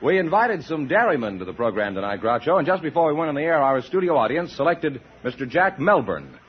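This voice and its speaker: A man announces scores and introduces the guests in a crisp, upbeat manner, his pitch bouncing lively and a touch high. The sound is muffled like an old 1950s broadcast, with faint audience and hiss behind. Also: fast talker. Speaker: show announcer. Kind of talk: formal announcing.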